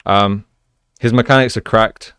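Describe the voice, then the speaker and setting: A man with a fairly low, clean and smooth voice breaks down video game play as a coach. He explains things in a measured way, often repeating a phrase to stress a point. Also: steady tone, a bit bright, no room sound, studio quality. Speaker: esports coach, video host. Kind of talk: gameplay analysis commentary.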